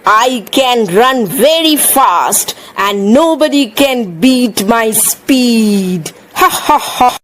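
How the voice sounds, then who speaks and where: A woman voicing a boastful, excitable young male hare in a children's puppet show, exclaiming and bragging. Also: fairly high pitch, drawn-out words, few pauses, voice pushed down, full character voice. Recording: echoey room with background noise.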